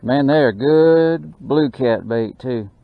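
Slow gravelly man on a boat. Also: mid-range voice. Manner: excited, drawling exclamations and mutters to the fish. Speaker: fishing channel host. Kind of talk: casual running commentary while fishing. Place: small boat on a lake, outdoors. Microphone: action camera mounted on the boat. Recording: dull action-camera audio with faint noise.

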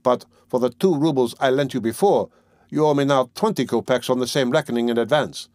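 A man voicing a severe, stingy old woman pawnbroker who talks in a curt, sharp, haggling way. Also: medium pitch, fairly quick, no echo, studio quality, lightly acted.

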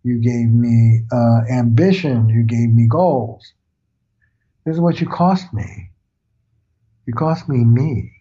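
A man's voice: mid-range voice, slow, pauses often, dark tone, slightly rough, lo-fi sound. An older man, a family therapist, explaining his ideas earnestly with analogies in an interview. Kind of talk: reflective interview talk.

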